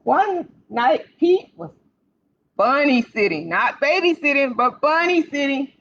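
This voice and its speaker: A woman hosting storytime for young children, reading aloud in an animated, sing-song way and putting questions straight to the kids. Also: high voice, unhurried. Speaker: library storytime host for children. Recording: dull, lo-fi livestream sound.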